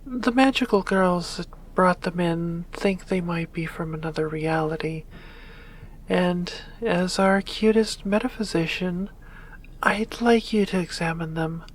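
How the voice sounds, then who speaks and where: A woman playing a composed succubus demon doctor in an ASMR roleplay, breathy, measured and dryly teasing. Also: lowish voice, slight background noise, lightly acted. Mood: businesslike.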